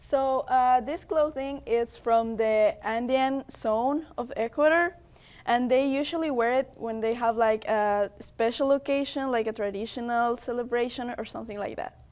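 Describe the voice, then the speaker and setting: A teenage girl answers questions briefly and simply, a little shy. Her voice is higher than most. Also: normal speed, a little mellow. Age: teens. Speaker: youth dancer.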